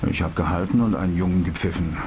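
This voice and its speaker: An actor playing a plain, laconic taxi driver in a radio crime drama, talking tersely and matter-of-factly. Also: male voice, sits low, quick pace. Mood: guarded, matter-of-fact. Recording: loud car and street noise.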